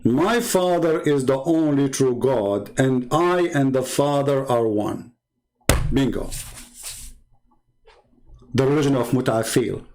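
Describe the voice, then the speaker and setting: A man's voice: medium pitch, takes it slowly, pauses often, darkish tone, a little hiss or hum, rough recording. Sarcastic man with an Arabic accent. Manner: mocking, scornful, sarcastic laughs. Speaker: online debater. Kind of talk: combative commentary while reading comments.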